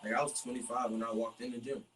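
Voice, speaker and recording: A man's voice: mid-range voice, level tone, fast, a bit bright. Male, American, slangy and profane podcast guest, casual and blunt, recorded off-mic with faint noise. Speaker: MMA fighter, podcast guest. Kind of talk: casual chat. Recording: distant mic, noisy room.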